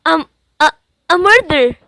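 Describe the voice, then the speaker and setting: High-pitched anxious, imperious wife acted by a woman. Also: lively intonation, measured pace, bright, forceful tone, no echo, clean studio sound, heavily acted. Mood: horrified, urgent. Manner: agitated, insistent, theatrical. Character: anxious, imperious invalid wife alone at night. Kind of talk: student radio drama acting.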